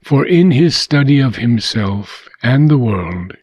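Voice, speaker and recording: A man reading philosophical prose aloud in a measured, deliberate way with frequent pauses. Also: medium pitch, sing-song, darkish tone, slightly rough, no echo, highs cut off. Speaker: reader of a philosophical book.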